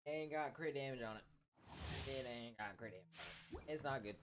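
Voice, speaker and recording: A man's voice: mid-range voice, moderate pace, background noise. A man casually commenting on his gameplay with laid-back, slangy remarks. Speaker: gaming streamer. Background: loud game music.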